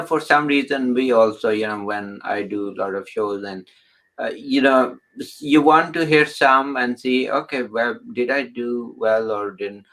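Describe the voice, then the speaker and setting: A man hosting an interview who talks haltingly, restarting his sentences and adding encouraging remarks. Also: mid-range voice, fairly bright tone, rough recording. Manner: halting, with many restarts and filler words. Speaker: host.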